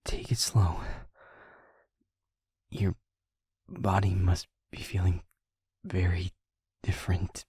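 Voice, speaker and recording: A man playing an anxious, tender, protective young vampire boyfriend in an intimate audio roleplay, speaking haltingly and often in a whisper. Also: deep voice, little pitch movement, mellow, husky, dry recording, studio quality, exaggerated voice. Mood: gentle, careful.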